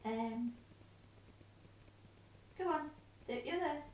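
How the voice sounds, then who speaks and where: A woman's voice: high-pitched, animated, drawn-out words, a little mellow, far from the mic, a little hiss or hum. A woman, patiently coaxing and encouraging as she reads a letter aloud at home. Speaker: carer reading a letter aloud. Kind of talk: casual home video, reading aloud. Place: room at home. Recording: echoey room, voice off-mic.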